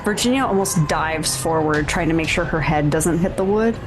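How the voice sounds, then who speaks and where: A woman's voice: sits low, normal speed. A woman playing a tabletop roleplaying game, improvising third-person descriptions of what her character does. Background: background music.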